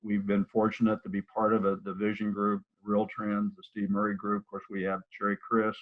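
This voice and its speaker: Low-voiced older man on a tinny line. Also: completely flat delivery, a little fast. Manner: rambling, with many restarts and fillers. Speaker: real estate broker and office manager. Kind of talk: casual interview over a live video call. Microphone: video call. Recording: tinny phone-like audio.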